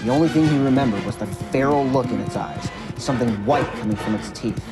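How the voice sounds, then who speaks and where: A man's voice: medium pitch, fairly quick. A man narrating a scripted story for an audio drama. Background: music.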